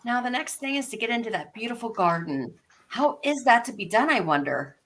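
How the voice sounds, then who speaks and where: A woman's voice: fairly high pitch, sing-song, brisk, a bit bright. A woman voicing a polite, bewildered but plucky little girl in a storybook live read, earnest and prim. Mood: pondering. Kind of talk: live reading of an abridged classic story.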